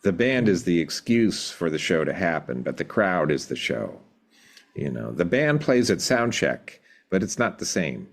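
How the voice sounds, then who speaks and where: A middle-aged man reflecting in an interview, thoughtful and measured, pausing to find his words. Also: sits low, very animated, creaky. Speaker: podcast guest, rock band drummer.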